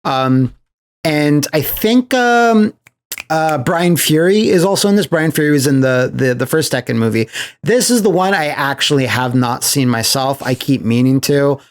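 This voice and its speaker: A man hosting a podcast in casual chat, recapping things as an animated storyteller who slips into acted-out quotes. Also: on the high side, moderate pace. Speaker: podcast host.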